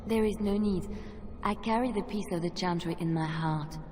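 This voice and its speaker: A woman's voice: on the low side, normal speed, darkish tone, slight background noise, lightly acted. A woman playing an earnest, devout young adventurer in a fantasy video game, sincere and resolute. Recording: long reverb with music behind. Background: music.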